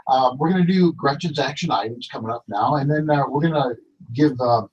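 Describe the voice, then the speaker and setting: A man's voice: medium pitch, fairly quick, breathy edge, dull audio. A man hosting a talk radio show, chatty and rambling, losing his voice. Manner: rambling, filler-heavy.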